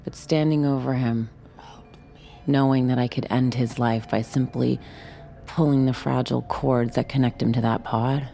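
An actress playing a bitter, grieving woman who is cool, taunting and deliberate, in a scripted audio drama. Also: a bass voice, steady tone, vocal fry, mellow, soft background music. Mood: cold resolve. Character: bitter, grieving woman bent on erasing a man's legacy.

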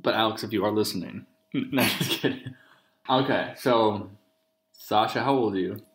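A man of thirty with a fairly low voice talks casually in an echoey kitchen. He leads the conversation like an informal host, teasing and joking as he goes. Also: moderate pace. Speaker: podcast host interviewing his partner. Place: kitchen, at the table during a meal. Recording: roomy echoing home recording.

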